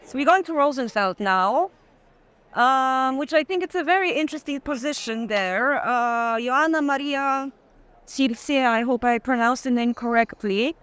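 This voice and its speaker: A woman's voice: sits high, unhurried, a bit bright, clear, resonant tone. A woman chatting casually while leading a walking tour, a little tentative and repeating phrases. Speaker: tour co-leader in conversation. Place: art fair hall, walking tour.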